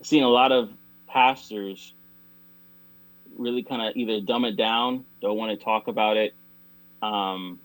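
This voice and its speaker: A man's voice: lowish voice, fairly even intonation, a little slow, long pauses, a bit bright, dry recording, poor audio. A man as an interview guest, talking casually and explaining his points with analogies. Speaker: interview guest, music artist.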